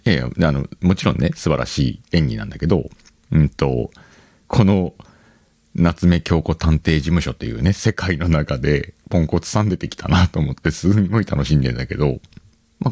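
A man's voice: rumbling low, dark tone, breathy edge, no echo, clean studio sound. A man hosting a solo podcast, chatting casually to his listeners and thinking aloud as he goes. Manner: conversational, thinks aloud, addresses listeners directly. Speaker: podcast host. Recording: background music under the voice.

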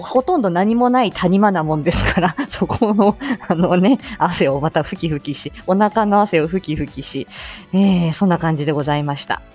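A woman hosting a casual live morning audio show, chatting to listeners in a lively, expressive way with frequent laughter. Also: lowish voice. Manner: chatty, laughs often while talking. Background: background music.

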